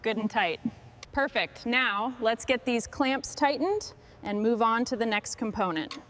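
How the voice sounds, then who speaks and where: A woman's voice: a little high, unhurried, a bit bright, slight background noise. A woman giving short scripted instructions and remarks as a mechanic on a restoration job.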